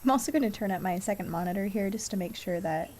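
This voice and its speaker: A woman's voice: medium pitch, fast talker, a little mellow. A woman chatting casually and thinking aloud, a bit distracted, as she streams a game. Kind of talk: casual chat while playing a game. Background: game music.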